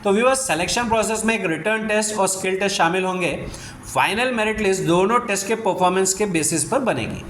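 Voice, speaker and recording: A man with a high voice speaks in an echoey room with faint noise behind him. He explains details to viewers step by step, like a job-news host, mixing in English phrases. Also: lively intonation, brisk, fairly bright tone. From India. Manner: instructional, switches into English phrases.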